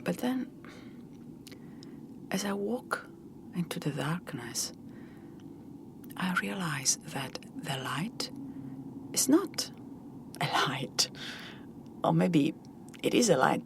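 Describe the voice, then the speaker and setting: Slow, breathy, low-pitched woman storyteller. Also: big pitch swings, background noise. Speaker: audio storyteller. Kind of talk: first-person scripted dramatic narration.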